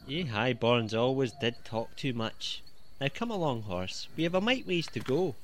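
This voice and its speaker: An actor playing a sly, mocking highwayman, taunting with false courtesy in an audio drama. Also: expressive, moderate pace, noisy background, lightly acted. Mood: menacing. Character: sly, mocking male highwayman lurking in moorland fog.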